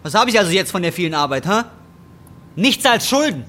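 A man's voice: sits high, very animated, a little fast, pushed and bright, heavily acted. An actor playing a bitter, defiant, broke injured roofer in his twenties, in a German radio drama. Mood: angry, bitter. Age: 20s. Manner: embittered, colloquial, confrontational. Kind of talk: German radio drama acting and brooding first-person narration.

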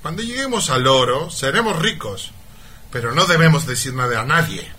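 An actor playing a cruel, greedy, scheming mine owner in a parody radio melodrama, forceful and contemptuous. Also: male voice, mid-range voice, animated, moderate pace, husky. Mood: scheming, cold. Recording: light background noise.

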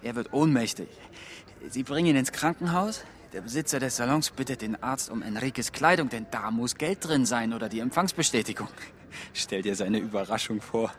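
An actor playing a self-satisfied young police detective, telling gossipy, animated stories in audio drama dialogue. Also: male voice, medium pitch, fairly quick, natural voice. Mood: gleeful.

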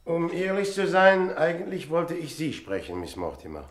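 An actor in a radio drama playing a polite, probing man with a measured, guarded manner. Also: fairly high pitch, huge pitch swings. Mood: courteous. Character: polite, probing man investigating his brother's death, Mr. Bristol.

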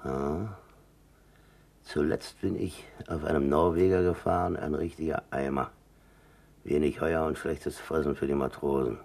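An actor in a radio play voicing a gruff, down-to-earth German seaman, terse and colloquial, with dry irritability. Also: a bass voice, fairly even intonation, a little slow, a little mellow, heavily acted. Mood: dry, matter-of-fact.

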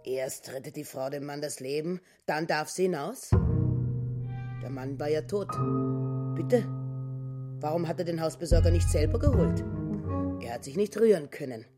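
A woman's voice: low-pitched, unhurried, pauses often, loud music behind the voice. An actress playing a stubborn, grasping, self-pitying wife in her fifties in a radio play, nagging in sententious set phrases. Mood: aggrieved, self-pitying. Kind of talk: German radio play acting.

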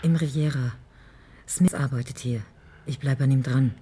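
Casting: controlled, persuasive con woman for radio crime play, mellow relaxed tone. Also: rumbling low, moderate pace, slight background noise. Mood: composed, persuasive. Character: cool, calculating female schemer named Paula, smoothly persuasive. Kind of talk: German radio crime drama acting.

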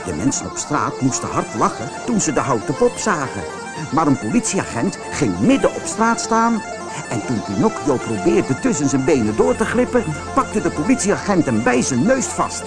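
A man reading a children's fairy tale as an audiobook narrator, in an expressive storytelling style. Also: on the high side, normal speed, music in the background.

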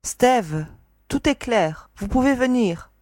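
A woman's voice: on the high side, animated, slow pace, darkish tone, no echo, clean studio sound. A woman playing a wary, self-assured young space agent who leads her team in a science-fiction audio drama. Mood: calm command.

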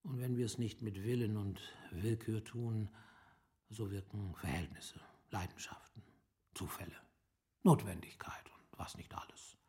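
An actor playing a worldly, resigned older gentleman guest in a radio play, speaking in a measured, reflective way with a creaky voice. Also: lowish voice, close to an everyday voice. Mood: wistful, resigned. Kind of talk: literary radio play dialogue.

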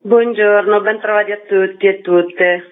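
A woman's voice: mid-range voice, fairly even intonation, unhurried. A woman explaining her work as a theatre organiser, a guest in a radio interview. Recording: tinny narrow phone line.

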